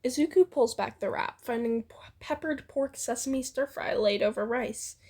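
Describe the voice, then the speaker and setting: A woman's voice: fairly high pitch, moderate pace, a little mellow, breathy edge. A woman reads fan fiction aloud as a co-host, playfully narrating and readily breaking off to joke and giggle. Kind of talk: playful co-read story narration with banter.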